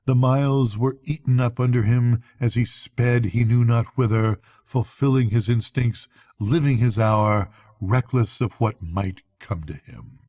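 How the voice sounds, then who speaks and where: An older man narrating a bedtime story aloud as a storyteller, with measured, dramatic phrasing. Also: medium pitch, a little mellow, dry recording. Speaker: storyteller, grandfather reading aloud.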